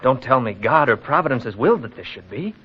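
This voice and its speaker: A man's voice: mid-range voice, expressive, fast talker, husky. A man playing a troubled, earnest young townsman with a formal manner in a 1940s radio drama. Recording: muffled, noisy vintage radio recording.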